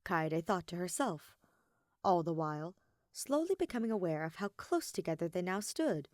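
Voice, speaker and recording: Female fiction narrator, lowish pitch, scripted storytelling read, very dry close sound. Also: big pitch swings, moderate pace, no echo.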